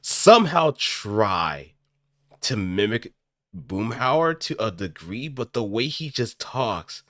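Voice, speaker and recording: A man streams in a loose, rambling chat. His bright voice swings widely in pitch. The sound is close and very dry. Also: slow, no echo. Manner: rambling, animated. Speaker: streamer practicing voice acting. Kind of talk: casual livestream chat.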